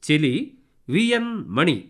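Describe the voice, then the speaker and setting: A man's voice: fairly high pitch, sing-song, takes it slowly, a bit bright. A man reading formal scripted credits as a radio announcer.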